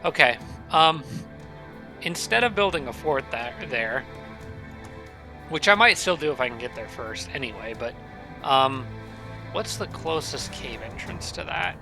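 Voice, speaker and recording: A man casually commentating a strategy game, thinking aloud and correcting himself, his voice a little raspy and nasal from allergies. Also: mid-range voice, lively intonation, a little slow, long pauses, sharp tone, soft background music. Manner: thinking aloud, rambling with restarts.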